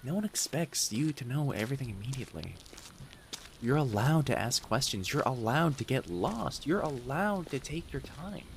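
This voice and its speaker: A man playing a friendly, attentive young kitsune college student in an audio roleplay, speaking directly to the listener with soft teasing and hesitant pauses. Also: medium pitch, creaky, slight background noise. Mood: tender, encouraging. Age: young adult. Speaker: campus guide to a new student. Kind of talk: scripted boyfriend-style audio roleplay.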